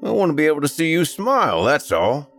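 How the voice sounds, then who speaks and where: A man voicing a stubborn, loud middle-aged country father who grumbles and blusters. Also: on the high side, wildly swooping pitch, a little fast, faint music behind, voice pushed up, heavily acted. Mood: teasing, grumbling.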